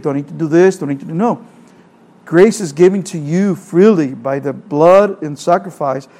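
A man's voice: on the high side, darkish tone. A middle-aged man from El Salvador with a Salvadoran Spanish accent, preaching a sermon earnestly, asking rhetorical questions and repeating phrases.

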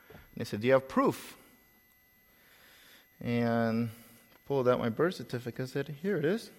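A man from El Salvador with a slight Salvadoran Spanish accent, preaching a sermon in a relaxed storytelling way, with pauses and repeated words. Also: mid-range voice, big-room sound.

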